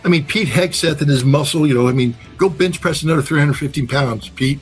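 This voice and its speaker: A man's voice: fairly high pitch, fairly quick, soft background music. A man giving blunt, emphatic geopolitical analysis in a conversational way, repeating phrases to drive his points home. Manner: blunt, emphatic, uses repetition. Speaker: political and military analyst.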